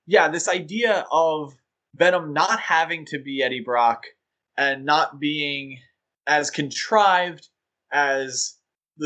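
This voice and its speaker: Podcast co-host, male, bright and a bit high, easygoing and enthusiastic, lots of fillers. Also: poor audio. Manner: enthusiastic, rambling with fillers and laughs. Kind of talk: casual chat.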